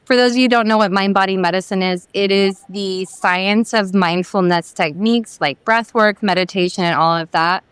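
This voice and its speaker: Clear-voiced woman hosting an interview. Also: mid-range voice, fairly bright tone, no echo. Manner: upbeat, conversational, loosely phrased questions. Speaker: interviewer, physician host.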